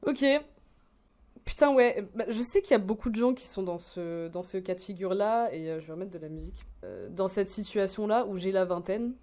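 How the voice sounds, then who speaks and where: A woman's voice: medium pitch, fairly quick, warm tone. Slangy young woman reacting casually. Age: 20s. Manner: slangy, rambling, swears often. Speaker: streamer reacting to a video.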